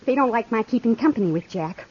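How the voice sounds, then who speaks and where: A woman's voice: fairly high pitch, expressive, fast talker, darkish tone, husky. An American woman playing a headstrong young frontier woman in love, in 1950s radio western style. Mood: defiant. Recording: dull, muffled 1950s radio recording.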